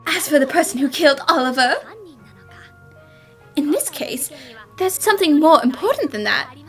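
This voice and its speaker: A woman voicing a cheerful, eccentric young female detective in an animated mystery fan dub, theatrical and confident, with emphatic delivery. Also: high-pitched, normal speed. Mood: assured, dramatic. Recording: music under the dialogue. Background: background music.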